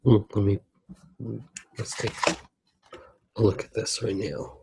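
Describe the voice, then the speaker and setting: A soft-spoken man doing an unscripted ASMR demonstration, musing aloud in a hushed way as he works. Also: fairly low pitch, slow pace, gravelly, husky. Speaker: ASMR artist narrating own drawing.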